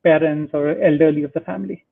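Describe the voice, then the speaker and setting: A man's voice: on the high side, steady tone, quick pace, creaky. A man from India, a guest in a remote interview, speaking in a friendly way with frequent hesitations and fillers. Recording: tinny phone-like line.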